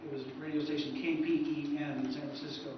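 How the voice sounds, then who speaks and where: Halting male presenter in a very echoey room. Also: fairly high pitch, little pitch movement. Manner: halting, self-correcting. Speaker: presenter, historian. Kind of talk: informal convention presentation. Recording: echoey hall from far off, muffled, noisy.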